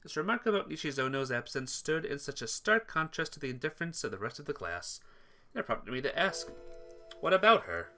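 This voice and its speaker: A man's voice: fairly high pitch, lively intonation, brisk, pushed and bright, soft background music. A man reading visual novel text aloud during a playthrough, sometimes stumbling and rereading phrases. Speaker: video game player reading aloud.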